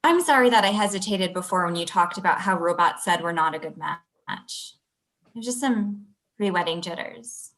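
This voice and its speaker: A woman's voice: on the low side, animated, normal speed, a bit bright, rough recording. Woman playing a tactful, supportive fiancee. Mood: apologetic. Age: young adult. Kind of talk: remote table read of a comedy script. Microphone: video call.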